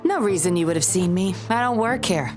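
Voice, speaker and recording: A woman playing a sly, composed undercover patroller who talks smoothly and with cool teasing, in a video game. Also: medium pitch, animated, a little fast, natural voice. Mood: disarming. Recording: music behind the voice.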